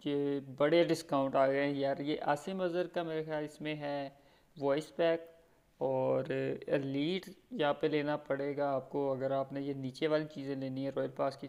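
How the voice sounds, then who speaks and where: A man's voice: medium pitch, darkish tone, smooth. A man with a Pakistani accent chatting casually over gameplay as a gaming YouTuber.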